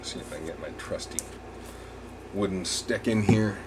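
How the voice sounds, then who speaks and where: A man's voice: low-pitched, moderate pace, background noise. A man giving a casual hands-on repair demonstration, rambling and thinking aloud as he works. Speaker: RV repair technician presenting a tutorial.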